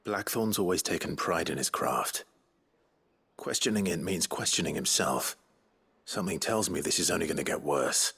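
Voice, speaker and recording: An actor playing an earnest, considerate fantasy game hero, measured and polite with a raspy voice. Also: male voice, lively intonation, pushed and bright, exaggerated voice. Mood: concerned, thoughtful. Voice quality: low, husky, raspy. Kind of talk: video game voice acting.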